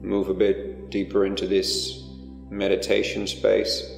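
Man, guided meditation, low-pitched, hushed phrases with pauses, deep echoing reverb, music behind. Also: completely flat delivery, gravelly. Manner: unhurried, hushed instruction with pauses between phrases.

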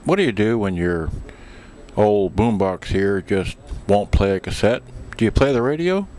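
A man's voice: lowish voice, lively intonation, moderate pace. A man giving a casual, folksy and playful demonstration as host, recorded on a camcorder microphone. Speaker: host demonstrating vintage audio gear. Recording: noisy amateur camcorder, loud background hum.

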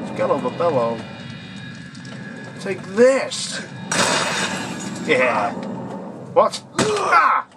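A man's voice: sits high, huge pitch swings, extremely slow, pauses often, loud music behind the voice. A young man commentating on a horror game as he plays, joking and yelping at the enemies that jump out. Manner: jokey, yelps and swears at enemies. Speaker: gameplay commentator. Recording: distant mic with loud game noise. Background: loud game sound.

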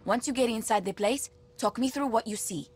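A decisive rebel leader. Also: female voice, fairly high pitch, a little fast, darkish tone, breathy edge. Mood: commanding. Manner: decisive, commanding. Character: commanding female rebel leader. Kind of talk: video game voice acting.

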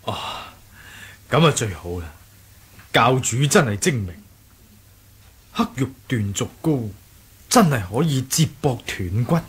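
An actor playing a loyal, deferential follower of a martial sect in a radio drama, with a raspy voice. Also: male voice, medium pitch, very animated, a little slow, long pauses, heavily acted. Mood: admiring, relieved. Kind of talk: Cantonese radio drama acting.

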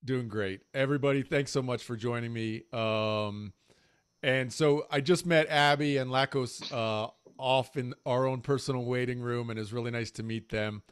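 A man's voice: mid-range voice, steady tone, normal speed, clean tone, no room sound, studio quality. A man in his forties hosting a panel over a video call from his home, upbeat and chummy, with loose fillers. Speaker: panel host. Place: home room with shelves. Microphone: large desk mic on video call.

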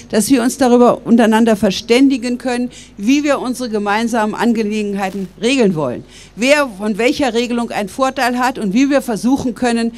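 An older woman from Berlin delivers a public speech outdoors into a stand microphone. Her voice sits a little high, and she builds her argument in a measured, earnest way. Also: a little mellow. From West Berlin, Germany. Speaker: public speaker. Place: outdoors by stone columns.

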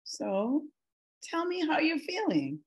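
Woman acting patient, gently probing wife. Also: high voice, sing-song, slow, full character voice. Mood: patient, concerned. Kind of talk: screenplay table read. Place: indoor room on a video call. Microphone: video call microphone.

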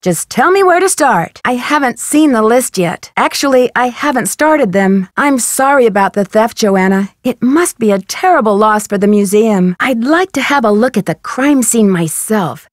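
A woman acting as an inquisitive young American girl detective in a video game. Her voice is high and neatly enunciated, with an eager, polite tone. The recording is dry and close, like studio game dialogue. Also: animated, moderate pace, few pauses, dry recording, natural voice. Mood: sympathetic. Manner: bright, inquisitive, clearly enunciated.

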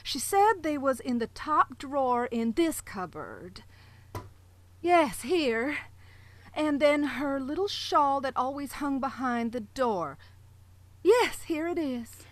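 An actress playing a timid, dutiful sheriff's wife in a live audio drama, talking hesitantly and nervously. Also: female voice, high-pitched, sing-song, slow pace, full character voice. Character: timid prim sheriff's wife, middle-aged woman. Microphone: home closet mic, dry.

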